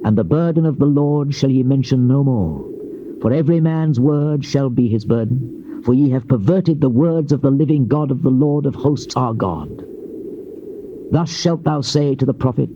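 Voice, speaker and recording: Casting: male actor as stern, solemn British prophet for dramatized scripture; mellow dark timbre, theatrical declamation, drawn-out endings, muffled cassette sound. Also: mid-range voice, lightly acted. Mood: wrathful, resolute. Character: stern male Old Testament prophet declaiming divine judgment. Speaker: scripture narrator voicing a prophet. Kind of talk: dramatized King James Bible recitation, theatrical. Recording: muffled, noisy old cassette tape.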